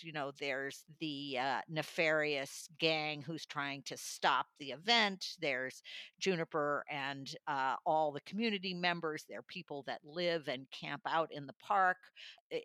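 Low, bright-voiced woman reviewer. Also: dry recording, studio quality. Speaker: audiobook reviewer, studio guest. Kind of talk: conversational book review.

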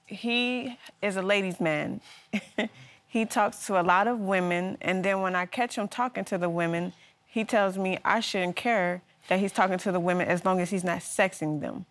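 A woman's voice: medium pitch, moderate pace. A woman testifying before a judge, earnest and aggrieved, with some hesitant fillers and restarts. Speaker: litigant. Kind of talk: courtroom testimony.

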